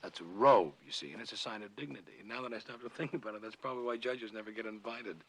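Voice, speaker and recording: An actor playing a gentle, patient father of a young boy in a television sitcom, explaining things with affection and dry humor. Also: on the low side, quick pace, gravelly, slightly rough, exaggerated voice. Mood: explaining, wry. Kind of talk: naturalistic television sitcom acting. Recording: noisy old TV soundtrack.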